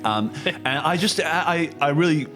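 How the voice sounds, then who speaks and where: A man's voice: fairly high pitch, expressive, normal speed, music in the background. An Australian man hosting a podcast, introducing it in a casual, jokey, rambling way. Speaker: host and game master.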